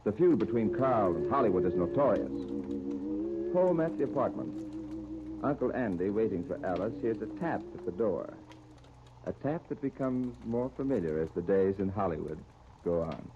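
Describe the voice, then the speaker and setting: A man's voice: on the low side, animated, normal speed. A man narrating a 1930s radio serial as its announcer, with a formal, dramatic scripted delivery. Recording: noisy, dull 1939 radio disc recording.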